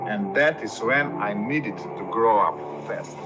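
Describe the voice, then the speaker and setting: A man from Slovenia, telling his childhood story slowly and solemnly. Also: lowish voice. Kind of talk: personal story told to camera. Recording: loud music underneath.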